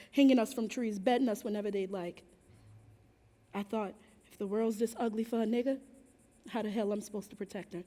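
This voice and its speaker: A woman's voice: a little high, a little mellow, close to an everyday voice. An actress on a theatre stage playing a tender, resolute enslaved mother with folksy storytelling. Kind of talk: stage play monologue. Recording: reverberant theatre stage recording.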